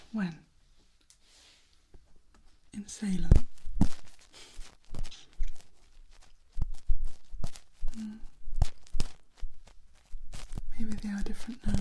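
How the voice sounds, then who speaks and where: Slow, whispering vampire woman, acted for ASMR. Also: medium pitch, background noise, lightly acted. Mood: wary, quietly musing. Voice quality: breathy, mostly whispered. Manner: slow, hushed, pausing between short phrases. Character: gentle female vampire, wary of witches and humans. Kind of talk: soft-spoken ASMR roleplay.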